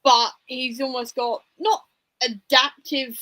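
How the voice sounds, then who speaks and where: A woman with a fairly high, very bright voice talks casually as a discussion host. She is informal and opinionated, softening points as she thinks them through in conversation. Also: fairly even intonation, slow. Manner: informal and opinionated, hedging with "like" and "sort of". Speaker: book discussion livestream host. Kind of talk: casual book discussion chat.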